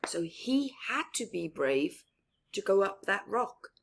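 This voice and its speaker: Woman, children's storyteller, very slow delivery, animated pitch, slightly husky, theatrical, emphatic, dropping into whispers. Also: a little hiss or hum, low-quality recording. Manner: asks young listeners questions and comments on pictures. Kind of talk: expressive picture-book read-aloud.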